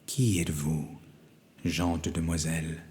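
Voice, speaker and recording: A man voicing a wise, solemn old wizard in a storybook, speaking slowly, gravely and deliberately. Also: extremely low pitch, flat delivery, dark tone, big-room sound, slight background noise, voice pushed down. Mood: mysterious. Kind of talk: storybook character voice.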